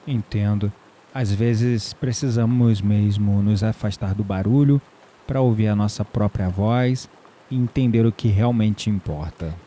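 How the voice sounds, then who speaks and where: A man acting a mellow, flirtatious Brazilian neighbour. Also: on the low side, measured pace, a little hiss or hum, close to an everyday voice. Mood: sincere. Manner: playful teasing, flirtatious. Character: mysterious male neighbour, flirtatious, playful, calmly teasing. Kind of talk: audio drama dialogue.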